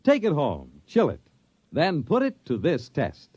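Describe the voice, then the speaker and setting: A man's voice: a little high, wildly swooping pitch, measured pace, darkish tone, breathy edge, no room sound. A man announcing in the dramatic, scripted style of 1950s radio. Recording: muffled old radio broadcast with music.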